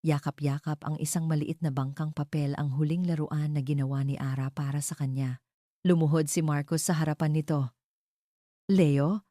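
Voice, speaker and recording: Mellow low-voiced woman narrating a story. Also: little pitch movement, a little fast, no echo, clean studio sound. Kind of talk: scripted dramatic narration of a love story.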